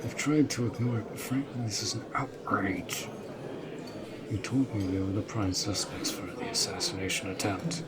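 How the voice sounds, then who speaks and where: A protective orc general , performed by a man, speaks in a lowish male voice at a very slow, measured pace. He is courteous and earnest, with some dry teasing, in a fantasy audio roleplay. A crowd murmurs clearly behind him. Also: lightly acted. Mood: indignant, protective.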